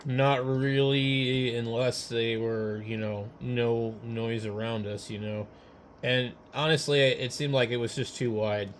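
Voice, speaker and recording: Halting man chatting over clear background noise. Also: medium pitch, little pitch movement. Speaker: amateur radio operator. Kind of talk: casual technical chat.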